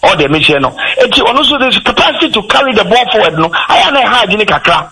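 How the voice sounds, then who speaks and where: A man with a Ghanaian accent, a football pundit on a sports talk show, talking in an animated, rambling way and switching between English and Twi. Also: high voice, fast, husky, low-quality recording. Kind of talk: radio sports discussion.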